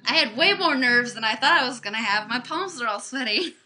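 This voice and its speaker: Bright-voiced young woman chatting on webcam. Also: fairly high pitch, lively intonation, brisk, faint music behind. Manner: chatty and rambling, with many fillers and restarts. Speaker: livestream host. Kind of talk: casual webcam chat with viewers. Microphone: webcam microphone.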